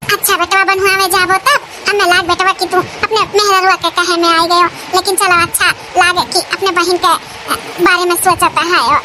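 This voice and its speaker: Woman doing a very high-pitched Awadhi village cartoon woman. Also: level tone, moderate pace. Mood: chiding. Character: high-voiced village women of a family cartoon: wife, mother, sister. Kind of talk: rural cartoon comedy dialogue. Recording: clearly noisy with outdoor ambience.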